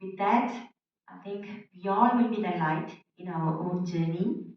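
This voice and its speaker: A woman with a slightly low voice shares reflections in a measured, earnest way. She is heard from afar in a hall with heavy echo. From Malaysia. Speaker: retreat participant sharing a testimonial. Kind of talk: reflective public sharing. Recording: echoey hall, distant dull mic.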